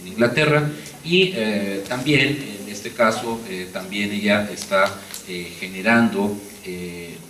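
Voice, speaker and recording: A man introduces a conference speaker in a halting way, with many fillers. His voice is very low and sounds distant from the mic. The hall echoes, with faint noise behind him. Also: fairly bright tone. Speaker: conference moderator.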